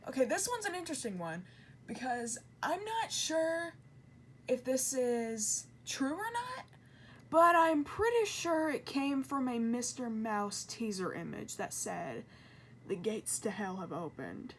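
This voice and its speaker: A woman with a fairly high voice talks through an informal explainer. She sounds earnest and conversational, correcting herself as she goes. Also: sing-song, unhurried, fairly bright tone, slight background noise. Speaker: video creator and commentator.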